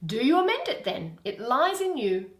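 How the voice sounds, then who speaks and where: A woman voicing a proud, indignant fairy queen in measured, declamatory Shakespearean verse. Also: high-pitched, very animated, reverberant. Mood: reproachful.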